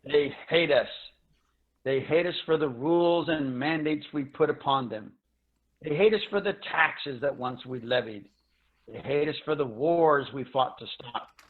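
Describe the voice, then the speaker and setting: A man's voice: on the high side, a little slow, lightly acted. A man plays a broken old space traveler in a science fiction drama, worn out by hunger and full of bitterness. He speaks with weary, theatrical emphasis through a thin, phone-like connection. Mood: bitter anger. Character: Grito, worn, starved, bitter old spacefarer near death. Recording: tinny, narrow-band video call audio.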